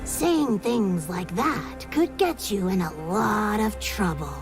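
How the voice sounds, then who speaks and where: A menacing, guarded figure from a secretive fantasy order. The medium-pitched voice cautions with a threat in it, in cinematic dialogue with music clearly behind. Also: female voice, animated, slow. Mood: warning.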